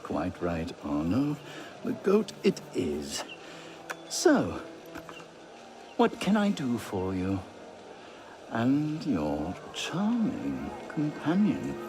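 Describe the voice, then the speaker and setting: Flamboyant, witty debauched marquis, swooping pitch. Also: male voice, huge pitch swings, drawn-out words, gravelly, pauses often, over music, full character voice. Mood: arch, amused. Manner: theatrical, arch, leisurely. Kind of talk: video game voice acting.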